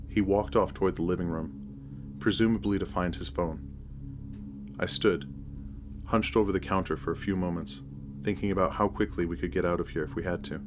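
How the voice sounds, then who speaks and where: Very deep-voiced man narrating horror. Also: background noise. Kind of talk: scripted first-person story narration.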